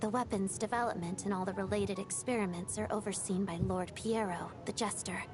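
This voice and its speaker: A woman playing a melancholy young ballet dancer in a video game, speaking softly and breathily in a restrained, reflective, measured way. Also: medium pitch, steady tone, over music, lightly acted. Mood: calm, explanatory. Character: melancholy young female ballet dancer and former Fatui trainee. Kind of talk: video game story dialogue.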